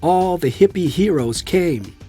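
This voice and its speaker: A man voicing a friendly, enthusiastic American devotee in audiobook dialogue, with a warm, animated, admiring manner. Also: high-pitched, unhurried, soft background music, pitched above the performer's own voice. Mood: excited reminiscing.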